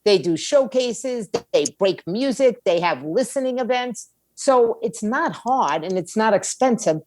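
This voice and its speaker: A woman's voice: fairly high pitch, lively intonation, unhurried, darkish tone, no echo. A middle-aged woman giving conversational advice as an interview guest, blunt and streetwise, making her points with rhetorical questions. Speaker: podcast guest, music attorney and indie label owner.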